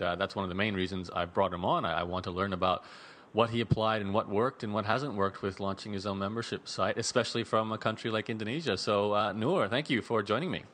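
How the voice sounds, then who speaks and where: A man's voice: on the low side, fairly bright tone. A man hosting an interview, talking in a chatty way with frequent restarts and repeated words. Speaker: podcast host.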